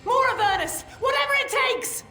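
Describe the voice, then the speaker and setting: A woman playing a fierce warrior commander in a fantasy video game, shouting orders to her soldiers. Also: extremely high pitch, animated, moderate pace, full character voice. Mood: urgent, commanding. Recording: echoey game battle scene with music.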